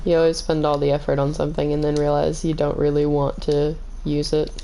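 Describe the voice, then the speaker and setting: Low, scratchy-voiced woman streamer. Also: fairly quick, vocal fry. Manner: calm, kept in her lower range. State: slightly hoarse, scratchy voice. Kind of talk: casual gaming stream chat.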